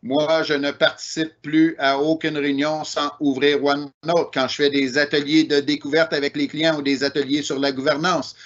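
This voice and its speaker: A man with a Québécois French accent walks through software features in a steady, explanatory way, often pausing on "euh" and "ben". His voice sits a little high for a man. The audio is muffled and lo-fi, like a video call. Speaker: presenter. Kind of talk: online technical presentation.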